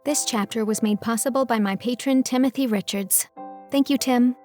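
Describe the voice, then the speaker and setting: A woman's voice: on the high side, normal speed, dark tone, soft background music. A woman reading scripted audiobook narration as the narrator.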